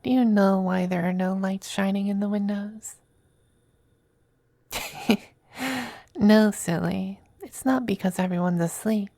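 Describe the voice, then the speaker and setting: A woman playing a tender, possessive male demon femboy in an intimate ASMR roleplay, soft and breathy, often whispering, with a hesitant, coaxing manner. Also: medium pitch, fairly even intonation, no room sound. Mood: playful, intimate. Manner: slow, hesitant, gently coaxing.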